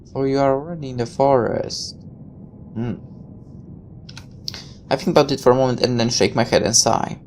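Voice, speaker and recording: A woman's voice: very deep voice, normal speed, pauses often, darkish tone, a little hiss or hum. A woman playing a visual novel, reading the text aloud and dropping irreverent, sarcastic asides. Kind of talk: game playthrough, reading text aloud with offhand commentary.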